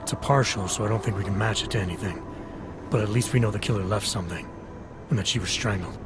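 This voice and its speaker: Low, brisk male investigator, acted by a man. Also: music in the background, natural voice. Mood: businesslike, probing. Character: terse, methodical male investigator. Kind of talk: video game cutscene dialogue.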